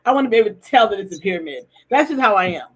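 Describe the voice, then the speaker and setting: Chatty husky-voiced woman host. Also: mid-range voice, lively intonation, racing. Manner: chatty, stumbling restarts and repeated words. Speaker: podcast host. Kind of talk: casual interview chat. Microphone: remote streaming call.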